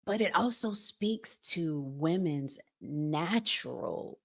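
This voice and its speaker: A woman's voice: low voice, lively intonation, slow, creaky. A woman hosting a relationship podcast, talking to viewers in an emphatic, preachy and informal way, often with rhetorical questions. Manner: informal, colloquial phrasing. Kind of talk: conversational opinion talk to viewers.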